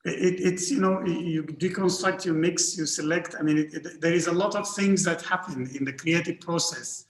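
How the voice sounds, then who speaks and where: Man from Northern Sudan, art historian on a panel, hesitant with many fillers, slightly high voice, roomy echo. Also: moderate pace, hardly any pauses. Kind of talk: academic panel discussion.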